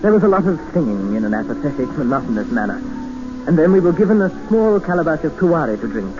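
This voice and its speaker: An actor playing an educated English captive, narrating and speaking in an earnest, formal, dramatic 1950s radio style. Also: male voice, on the high side, very animated, fast. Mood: grave, reflective. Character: desperate, educated male English captive expedition member, narrating. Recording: muffled old radio recording with music.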